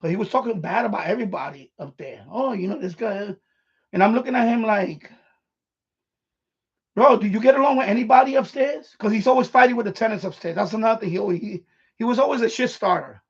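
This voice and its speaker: A man with a husky voice, telling stories in a blunt, repetitive, sweary way on a casual live stream. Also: high-pitched, fast talker. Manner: blunt, profane, repeats himself, animated. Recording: dull, lo-fi livestream audio.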